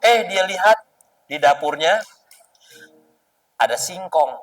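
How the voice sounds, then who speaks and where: A man's voice: sits high, lively intonation, takes it slowly, recorded from a distance. A man telling stories to children as an Islamic storyteller, teasing and explaining as he goes.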